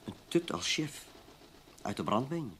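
A man's voice: fairly high pitch, expressive, moderate pace, a bit bright, a little hiss or hum. A man playing a cocky, critical newspaper journalist in a Dutch radio play, with a teasing, sardonic manner. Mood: scornful muttering.

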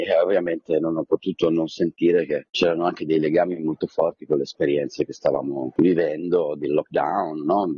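Really raspy low guy, theatre director chatting in an interview, muffled dry recording. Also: dark tone, dry recording. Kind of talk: conversational interview, reflective storytelling. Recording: dull, lo-fi, dry.

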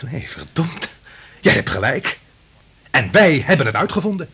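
Husky, obsessed male scientist. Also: a little high, normal speed, heavily acted. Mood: fanatical, grandiose. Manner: grandiose, excitable. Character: obsessed, reckless male scientist drunk on his invention. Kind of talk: radio drama acting.

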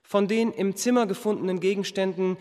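An actor in a radio play as a formal, impassive official reading out a decree in measured lists. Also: male voice, high-pitched, fairly even intonation, darkish tone, lightly acted. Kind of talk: scripted document reading in radio drama. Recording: long echoing hall reverb.

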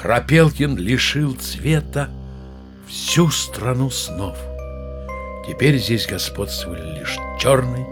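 An actor playing a storyteller, narrating a children's audio play in a measured, dramatic way. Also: male voice, mid-range voice, lively intonation, over music. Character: male fairy-tale storyteller.

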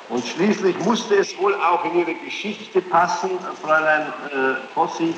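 Guy doing formal courtroom questioning, halting but insistent, sceptical, big echoey hall on an old noisy tape. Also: a little high, dull audio. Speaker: courtroom examiner questioning a witness. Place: large reverberant courtroom.

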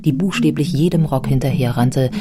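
Eine Frau erzählt als Sprecherin eines literarischen Hörspiels, gemessen und deutlich artikuliert. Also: female voice, very deep voice. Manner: measured, articulate. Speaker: narrator. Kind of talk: scripted literary radio narration. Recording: music behind the voice. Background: prominent music.

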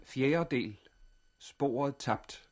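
A man announcing titles in the formal style of a 1960s radio drama. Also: mid-range voice. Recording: old broadcast under loud music. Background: loud music.

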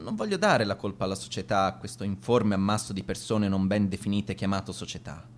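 An actor playing a bitter, despairing, sardonic schoolboy reading a farewell letter in a deliberate, wounded way, as a radio drama monologue. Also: male voice, deep voice, a little hiss or hum.

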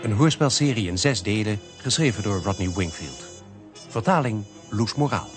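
Male series announcer: low-leaning voice, deliberate formal read over prominent music. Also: expressive. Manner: slow, formal.

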